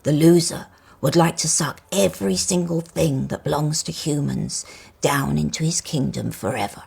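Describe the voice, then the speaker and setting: A woman voicing an old rusty male toy car in a storybook, grave and wise, croaky, explaining things slowly and ominously. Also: sits low, darkish tone, exaggerated voice.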